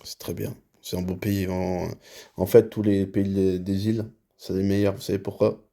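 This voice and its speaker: Sex: man. Origin: Dominican Republic. Role: hospital head interviewing. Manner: slow, measured questions. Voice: very low, gravelly, flat and dark. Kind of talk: in-character job interview.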